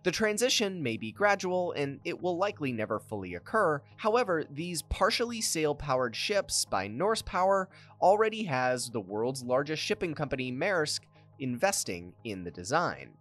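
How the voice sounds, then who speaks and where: A narrator reading a scripted explainer documentary with lively, sweeping intonation. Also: mid-range voice, moderate pace. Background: faint background music.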